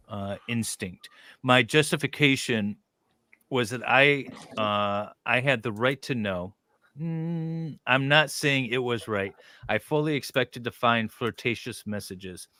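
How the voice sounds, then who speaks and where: A man reading a document aloud haltingly and breaking off for casual commentary. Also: medium pitch, bright, forceful tone, no room sound.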